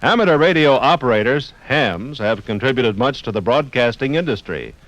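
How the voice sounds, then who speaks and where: A man's voice: medium pitch, lively intonation, normal speed, a bit bright, no echo, poor audio. An American man reading scripted 1950s radio documentary narration in a formal, explanatory announcer's manner. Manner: clear explanatory phrasing, formal announcer delivery.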